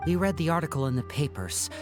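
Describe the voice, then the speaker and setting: A woman's voice: deep voice, big pitch swings, fast talker. A woman voicing a polite, earnest and helpful young stage performer in an anime game, courteous and articulate, with music behind. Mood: obliging.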